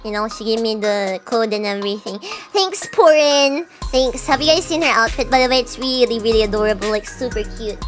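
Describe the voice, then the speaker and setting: A woman's voice: fairly high pitch, lively intonation, brisk, few pauses. A young woman streamer chatting casually with her viewers, answering chat with a chatty, self-mocking manner. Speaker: VTuber streamer. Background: faint background music.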